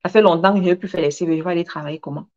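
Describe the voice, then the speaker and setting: A woman's voice: lowish voice, racing, highs cut off, slight background noise. A woman giving a motivational talk in an emphatic manner, repeating phrases for effect and drawing on personal stories. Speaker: motivational speaker and businesswoman.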